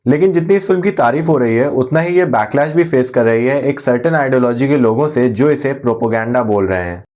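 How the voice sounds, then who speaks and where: A man's voice: mid-range voice, fairly quick, a little mellow. A man with an Indian accent delivering scripted opinion commentary as a video presenter, addressing viewers directly with rhetorical questions.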